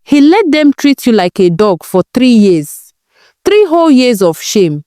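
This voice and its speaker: A woman performs a protective older mother figure in a storybook tale. She is urgent and indignant, with a very lively, swooping delivery recorded dry. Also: on the high side, unhurried, dry recording, voice pushed up.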